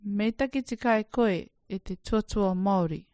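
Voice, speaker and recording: A woman speaking model phrases for a language course, pronouncing each one carefully and deliberately. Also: mid-range voice, mellow, dry recording, studio quality. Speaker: lesson dialogue speaker. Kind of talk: slow model phrases for learners.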